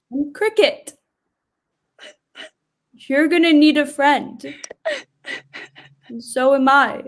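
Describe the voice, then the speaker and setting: Former Death, now just a person, in a stage drama woman acting: high-pitched, extremely slow, remorseful, gentle, earnest. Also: full character voice. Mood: tentative, friendly. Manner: halting, earnest, deliberate. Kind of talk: theatrical dialogue in a staged reading.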